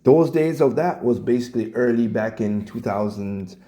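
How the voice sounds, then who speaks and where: A man's voice: medium pitch, normal speed, warm tone. Scratchy-voiced man ranting. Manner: blunt, swears, stumbles and repeats words. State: hoarse, coughing. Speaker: commentator reacting to clips. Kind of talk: casual rant.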